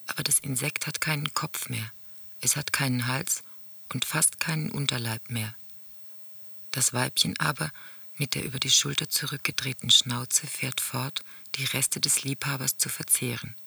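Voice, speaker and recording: An actor playing an intense man in a radio drama, reciting grim observations precisely and with clinical detachment, in a gravelly, husky voice. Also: medium pitch, fairly even intonation, moderate pace, bright, forceful tone, exaggerated voice.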